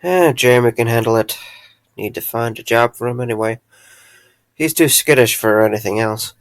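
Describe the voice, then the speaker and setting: A man's voice: mid-range voice, normal speed, dry recording, lightly acted. A man playing a caring, dryly wry friend in an audio drama, earnest and a little hesitant. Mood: wry, casual.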